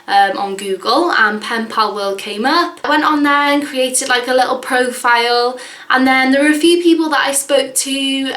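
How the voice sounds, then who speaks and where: A woman's voice: a little high, a bit bright, echoey room. A woman, chatting casually in a vlog with lots of fillers and "like"s. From Wales. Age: 20s. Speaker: vlogger. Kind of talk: casual chat.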